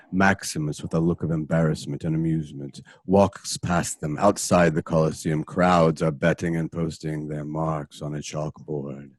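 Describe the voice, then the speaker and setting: A man narrates scene directions in an extremely deep, husky voice. The delivery is scripted and the sound is dry and close. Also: no echo. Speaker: narrator reading stage directions.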